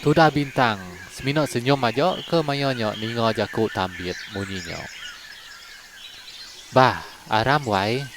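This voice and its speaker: A man's voice: medium pitch, unhurried, noisy background. Man telling a folk story. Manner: conversational storytelling, asks listeners to subscribe. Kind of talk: told folk story in Iban.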